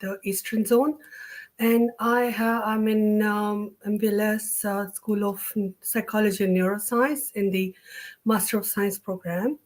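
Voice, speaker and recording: A woman from Canada speaks as a student panelist on a video call. Her voice is mid-pitched, and her phrasing is halting. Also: fairly even intonation. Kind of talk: introducing self on an online panel.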